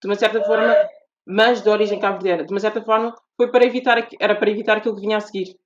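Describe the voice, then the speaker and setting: A woman with a European Portuguese accent speaks as a guest on a podcast, thoughtful and earnest, restarting phrases as she works through her ideas. Her mid-pitched voice comes through a dull, muffled lo-fi recording. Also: steady tone, racing. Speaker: guest author. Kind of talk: podcast interview. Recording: dull lo-fi audio.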